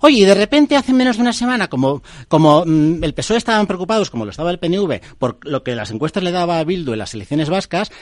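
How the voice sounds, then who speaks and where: A man's voice: high-pitched, very animated, fast, dry recording. A man from the Basque Country arguing insistently on a political debate panel, repeating phrases to hold the floor. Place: studio debate table.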